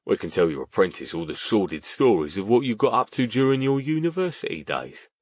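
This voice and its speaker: A man acts out a small, wiry Cockney fellow from a fantasy audiobook. He is chummy, teasing and colloquial, in a fairly low voice with a very dry sound. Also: big pitch swings, brisk, no echo. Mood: teasing, coaxing. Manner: matey, colloquial.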